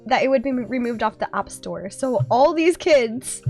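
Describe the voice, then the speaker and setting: A woman's voice: fairly high pitch, lively intonation, moderate pace, darkish tone, soft background music. A young woman with a cold, sounding nasal and giggly as she co-hosts a casual gaming podcast, full of fillers. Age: young adult. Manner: giggly, chatty, many fillers. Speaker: podcast co-host.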